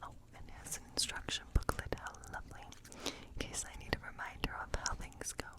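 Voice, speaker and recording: A woman playing a quirky, playfully spooky witch in a whispered ASMR role play, murmuring haltingly and repeating words to herself. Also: close to an everyday voice. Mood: delighted, excited. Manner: hushed, halting, muttering repetitions to herself.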